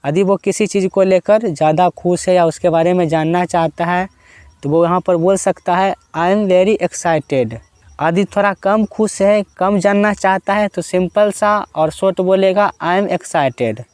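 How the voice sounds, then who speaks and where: An Indian man with a high, smooth, clear voice gives a basic spoken-English lesson, explaining in Hindi. He stretches each example sentence out slowly and says it twice so learners can repeat it. The sound is dry and close. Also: a little mellow, dry recording. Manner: slow, drawn-out model sentences repeated twice for practice. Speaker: English teacher.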